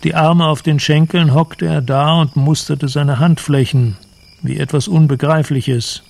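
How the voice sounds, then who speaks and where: A man narrating a literary radio play in a measured, solemn manner. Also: fairly high pitch, steady tone, creaky, darkish tone.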